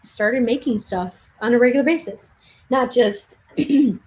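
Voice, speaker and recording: A woman's voice: fairly high pitch, a little slow, darkish tone, low-quality recording. Chatty young woman losing her voice. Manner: chatty, laughs often, many restarts. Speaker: livestream speaker. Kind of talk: casual livestream Q&A chat. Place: home craft room.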